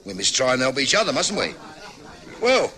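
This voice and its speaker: An actor playing a sly, chummy working-class London husband with a London accent, wheedling and probing, in a 1950s radio drama. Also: male voice, medium pitch, very animated, moderate pace, sharp tone, some chatter behind.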